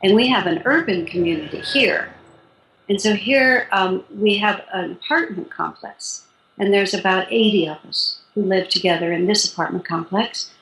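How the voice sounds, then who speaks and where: A woman in her sixties, a spiritual teacher calmly explaining her practice in an interview, with light laughs. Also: medium pitch, normal speed. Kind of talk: interview guest. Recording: roomy echo.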